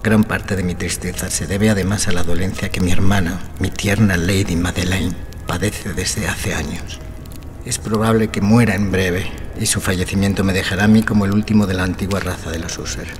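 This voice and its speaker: A man's voice: sits low, fairly even intonation, moderate pace, over music, lightly acted. An actor playing an ailing, anguished nobleman in a Gothic audio drama, trembling, hesitant and agitated. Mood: grieving, distressed. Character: ailing, anguished nobleman, frail, nervous and doomed.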